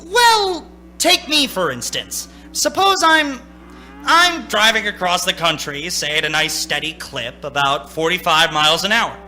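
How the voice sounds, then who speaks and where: A man's voice: sits high, animated, a little slow, bright, forceful tone, heavily acted. An actor playing a haunted, anxious young male driver in a radio play, speaking in a stammering, urgent, theatrical way. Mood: uneasy, probing. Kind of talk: theatrical radio play acting with first-person narration. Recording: stage radio play with music.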